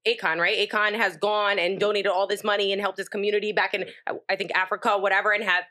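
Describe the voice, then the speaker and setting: Fast, bright-voiced American woman. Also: mid-range voice, flat delivery. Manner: informal, opinionated. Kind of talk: casual panel debate.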